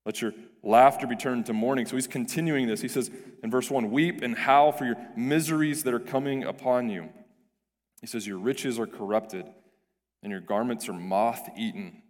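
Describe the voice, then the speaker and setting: Hoarse, raspy pastor in a reverberant hall. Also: male voice, moderate pace. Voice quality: deep and raspy. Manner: earnest, with frequent restarts and repeated words. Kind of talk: prayer and sermon. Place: church sanctuary. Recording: reverberant church hall with soft music.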